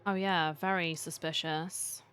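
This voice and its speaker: A woman's voice: lowish voice, fairly even intonation, a little slow, fairly bright tone, clear, resonant tone. A woman casually reacting to a game as she plays, with playful asides to the characters. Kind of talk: casual game commentary, reading along.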